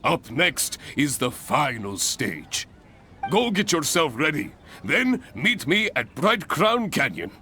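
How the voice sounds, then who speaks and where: An actor playing a hearty, grandiose adventurers' guild examiner in a video game, speaking in formal, exuberant flourishes. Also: male voice, fairly high pitch, very animated, slow pace, sharp tone, slight background noise, full character voice. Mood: pleased, hearty. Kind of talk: English video game voice acting.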